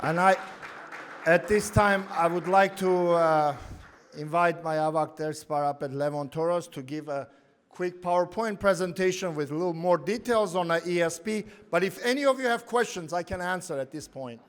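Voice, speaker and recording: A man's voice: sits high, moderate pace, a faint crowd. A man giving an emphatic, rallying address at a community lodge meeting. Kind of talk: formal address.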